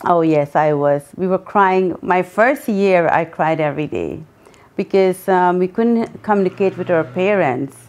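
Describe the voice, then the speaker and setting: A woman in her sixties from Guyana, answering interview questions earnestly, pausing often with ums. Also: fairly low pitch, darkish tone. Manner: earnest, with many pauses and ums. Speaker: guest, memoir author.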